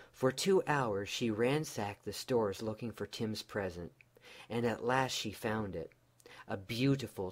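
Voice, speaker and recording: Guy w a fairly low voice reading a bedtime story, deliberate n mock serious.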